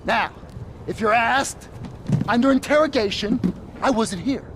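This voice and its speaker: A man's voice: very high-pitched, a little slow, background noise. An actor voicing a jittery, rambling male drug-dealer accomplice who wisecracks nervously in a game cutscene. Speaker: nervous accomplice in a crime crew.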